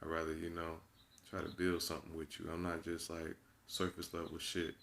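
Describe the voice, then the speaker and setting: A man's voice: low voice, completely flat delivery, a little fast, creaky, a bit bright, a little hiss or hum. A man in his twenties talking straight to his viewers, blunt and profane, preaching and repeating himself for emphasis. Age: 20s. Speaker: vlogger. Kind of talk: unscripted monologue to camera.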